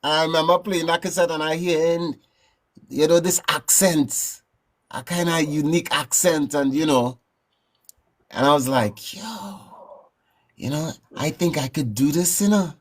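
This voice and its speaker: A man from Trinidad with a Trinidadian accent, telling stories in a relaxed, rambling way as a guest in a casual interview. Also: a little high, measured pace. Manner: relaxed, rambling, frequent "you know what I'm saying". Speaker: guest musician.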